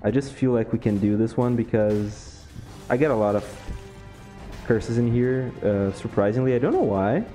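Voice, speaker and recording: A young man from Brazil, a Portuguese speaker with a Brazilian accent, chatting casually over gameplay, relaxed and laughing often. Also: medium pitch, normal speed, faint music behind. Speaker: gaming YouTuber.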